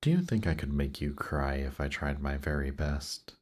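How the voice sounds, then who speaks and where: A man playing a seductive demon prince in an intimate roleplay audio drama, teasing and coaxing in an unhurried way. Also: very deep voice, lively intonation, darkish tone.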